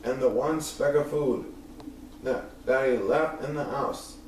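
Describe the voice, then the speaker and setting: A man reading a rhyming children's story aloud as an expressive narrator. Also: mid-range voice, slow pace. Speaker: storybook reader. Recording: very echoey room, distant mic, faint noise.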